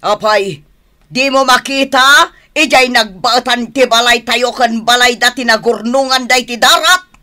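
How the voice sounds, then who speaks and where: An actress playing an irritable older wife who scolds and nags, in a radio drama with an Ilocano Filipino accent. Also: female voice, on the high side, measured pace, pushed and bright. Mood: irritated. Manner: scolding, insistent. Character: irritable, nagging wife of a retired policeman.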